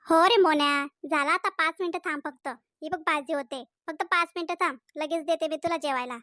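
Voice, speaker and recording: A woman's voice: sits high, fairly quick, sharp tone, no room sound, studio quality. A woman voicing a fussy nagging cartoon mother. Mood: doting. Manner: coaxing, nagging.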